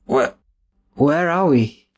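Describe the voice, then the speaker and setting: An actor playing a deferential, anxious manservant in an old-time radio mystery. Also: male voice, on the high side, lively intonation, takes it slowly. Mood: dazed, anxious. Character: deferential, nervous manservant to a gentleman.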